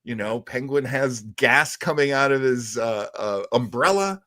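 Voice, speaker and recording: A man's voice: medium pitch, measured pace, pushed and bright, no room sound. Enthusiastic man in a dry, close recording. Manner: enthusiastic, rambling fan talk. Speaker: co-host of a retro radio show. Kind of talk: casual live-stream chat about old radio serials.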